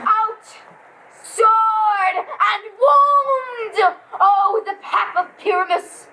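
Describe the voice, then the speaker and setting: An overwrought tragic lover, as a woman acts him out in a very high, bright voice. He draws his lines out slowly, wailing and shouting in amateur stage style. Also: slight background noise, full character voice, low-quality recording. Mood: anguished. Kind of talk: amateur Shakespeare stage acting.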